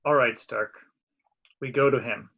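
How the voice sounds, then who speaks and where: Guy playing a stern wizard doctor, medium pitched, serious and formal, reading a movie script. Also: steady tone, unhurried, creaky, sharp tone, slightly rough, highs cut off, full character voice. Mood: grave, resolved. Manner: firm, formal. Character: stern, grave male sorcerer doctor. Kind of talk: amateur table read of a film script.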